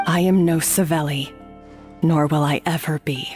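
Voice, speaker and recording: A woman's voice: deep voice, steady tone, moderate pace, darkish tone, faint music behind, lightly acted. A woman playing a bitter, guilt-ridden woman in a video game, speaking with intense, melodramatic defiance. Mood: guilty, defiant. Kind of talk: dramatic video game voice acting.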